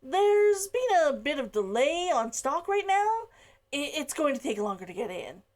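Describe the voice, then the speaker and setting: A woman's voice: high voice, sing-song, a little mellow, pitched above the performer's own voice. A woman reading the part of a nervous male shopkeeper who hesitates and stumbles over his words. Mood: hesitant. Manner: halting, full of uh fillers.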